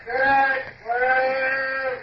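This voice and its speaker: A hissy, muffled vintage radio recording carries a seaman's distant hail. He shouts on a very high, level note, stretching the words like a chant. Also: completely flat delivery, drawn-out words, full character voice. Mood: calling out. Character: ship's lookout sailor bawling out calls. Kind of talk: 1950s radio drama acting.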